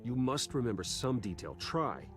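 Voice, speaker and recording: An actor playing a guarded, wealthy vigilante investigator in a video game drama, speaking in a measured, terse way. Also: male voice, medium pitch, big pitch swings, natural voice. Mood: probing. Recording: music behind the voice.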